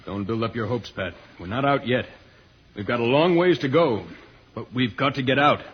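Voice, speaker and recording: A man's voice: mid-range voice, moderate pace, natural voice. An actor playing a grave, urgent, heroic alien spaceman in an old-time radio serial. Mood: grave concern. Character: earnest, heroic alien spaceman, puzzled by Earth slang. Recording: noisy, muffled old radio recording.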